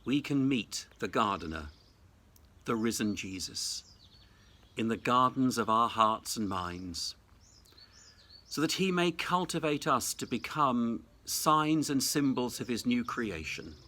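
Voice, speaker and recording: A man, a senior Anglican clergyman, delivering a reflective Easter sermon in a measured, deliberate, formal way. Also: mid-range voice, expressive, bright, forceful tone. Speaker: Anglican cathedral dean giving Easter message. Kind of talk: reflective sermon, prepared address.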